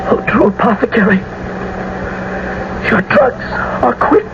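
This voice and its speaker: An actor playing a gentle but desperate young lover in a classical radio drama. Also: male voice, very high-pitched, very animated, unhurried, gravelly, exaggerated voice. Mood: tender despair. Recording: dull, noisy old radio broadcast.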